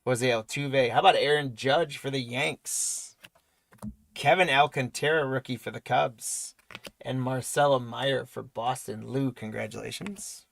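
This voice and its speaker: A man hosting a live trading card break, rattling off player names and congratulating viewers, his voice going hoarse. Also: mid-range voice, fairly even intonation, a little slow, a bit bright. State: voice weakening, losing it.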